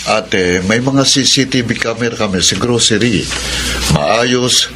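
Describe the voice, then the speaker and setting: A man's voice: medium pitch, a little slow, lightly acted. An actor playing a devout, patient middle-aged husband and father in a Filipino radio drama. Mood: calm, reassuring. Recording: noisy radio drama with background ambience.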